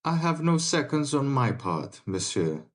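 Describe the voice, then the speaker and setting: An actor playing a brave, respectful young adventurer, earnest and formal, in a dramatic audiobook. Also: male voice, mid-range voice, big pitch swings, measured pace. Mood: earnest, polite.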